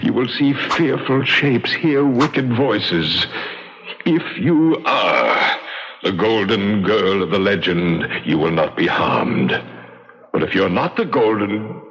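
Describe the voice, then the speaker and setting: An actor playing a mournful, doomed ghost with solemn, poetic delivery in 1940s radio style. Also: male voice, fairly low pitch, very animated, slow pace, full character voice. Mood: grave, ominous. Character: mournful, doomed male ghost, pleading and ominous. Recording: muffled old radio broadcast with loud music.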